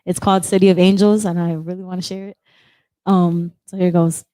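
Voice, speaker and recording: Soft-spoken woman with a girlish voice. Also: level tone, a little fast, dry recording. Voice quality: high, girlish voice. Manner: soft-spoken, emotional, apologetic. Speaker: poet sharing at an open discussion. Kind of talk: personal sharing and poetry reading.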